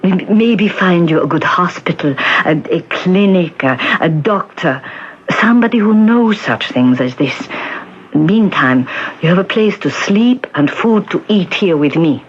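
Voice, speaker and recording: A woman's voice: lowish voice, animated, a little slow. An actress in an old radio drama playing a kindly, bustling middle-aged woman shopkeeper who talks in a homely, emphatic way. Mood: soothing. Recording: muffled, hissy 1970s radio broadcast recording.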